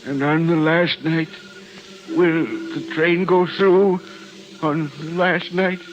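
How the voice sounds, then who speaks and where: An actor playing a fearful, haunted man telling of meetings with the dead, halting and dramatic, in a 1940s-style radio mystery. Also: on the high side, steady tone. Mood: uneasy. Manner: halting, dramatic, hushed storytelling. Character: haunted, fearful man narrating his graveyard encounter. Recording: dull lo-fi old radio recording, music.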